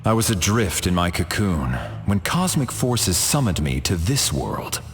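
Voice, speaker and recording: A man's voice: low-pitched, big pitch swings, a little slow, a little hiss or hum, exaggerated voice. A man voicing a noble cosmic warrior in a video game, speaking in a formal, portentous manner. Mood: lofty. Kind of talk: video game character lines.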